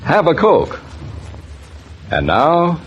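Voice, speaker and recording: A man's voice: on the high side, huge pitch swings, very slow. A man working as a radio announcer, reading a scripted sponsor message in an old-time broadcast style. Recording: dull, faintly noisy old radio recording.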